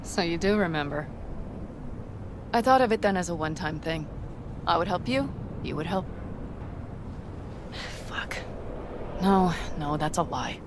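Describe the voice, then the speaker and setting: An actress playing a proud, guarded nomad who speaks frankly and wistfully. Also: female voice, on the low side, lively intonation, a little slow, long pauses. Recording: game audio with outdoor ambience. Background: ambient noise.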